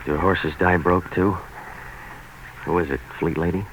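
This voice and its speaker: An actor playing a hard-boiled, wisecracking private operator in a deadpan, sardonic, clipped 1940s radio noir style. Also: male voice, low-pitched, a little slow. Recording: noisy vintage 1940s radio recording.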